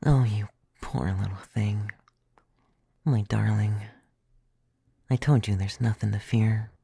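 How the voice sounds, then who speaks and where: Guy doing a flirty vampire femboy asmr, mellow gravelly soft voice, whispery, super dry and close. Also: on the low side, fairly even intonation, slow, exaggerated voice. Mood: tender, seductive. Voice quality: soft, breathy, often dropping to a whisper. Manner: intimate, teasing, reassuring. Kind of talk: ASMR roleplay. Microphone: close microphone, dry.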